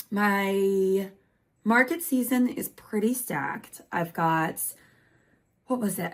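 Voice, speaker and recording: A woman talks through a relaxed home vlog as she crochets, chatty and candid. Her voice sits a little low and sounds worn, as if she is losing it. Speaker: crochet maker and small business vlogger. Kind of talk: casual rambling vlog chat. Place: home craft room.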